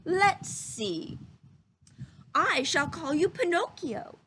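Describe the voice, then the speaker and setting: A woman's voice: very high voice, expressive, slow pace, fairly bright tone, slight background noise, pitched above the performer's own voice, heavily acted. A woman voicing a kindly, doting old male wood carver from a storybook, fond and exclaiming. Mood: pleased.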